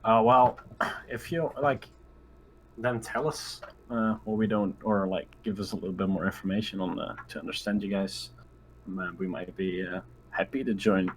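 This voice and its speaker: A man's voice: low voice, moderate pace, slight background noise. A man chatting casually as a player in a tabletop game.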